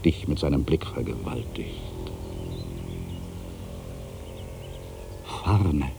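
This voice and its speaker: An actor playing an aging, weary writer in a literary monologue, brooding and wry, with a gravelly voice and deliberate, weighted pauses. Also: male voice, very deep voice, dark tone, a little hiss or hum, heavily acted. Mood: wry, wistful. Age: older.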